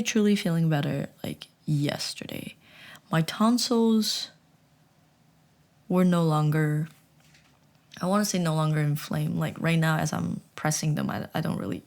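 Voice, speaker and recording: Low-voiced chatty young woman. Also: vocal fry. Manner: chatty, animated, self-mocking; rambling, with many restarts. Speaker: vlogger. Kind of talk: casual storytelling chat.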